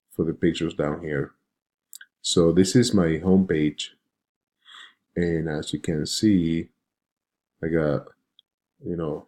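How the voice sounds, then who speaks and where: Deep-voiced, hesitant man from Venezuela. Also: dark tone. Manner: hesitant, halting, unscripted. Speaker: web design student presenting a project. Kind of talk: informal screen-share presentation.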